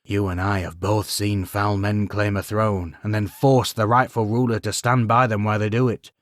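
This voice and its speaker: A man's voice: lowish voice, flat delivery, normal speed, no room sound, clean studio sound, natural voice. A man voicing a humble warrior lord burdened by guilt, who speaks in an earnest and restrained way. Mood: resolute.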